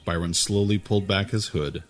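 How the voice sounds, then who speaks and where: Deep-voiced male story narrator. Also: fairly even intonation, normal speed, faint music behind. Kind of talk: scripted fantasy narration.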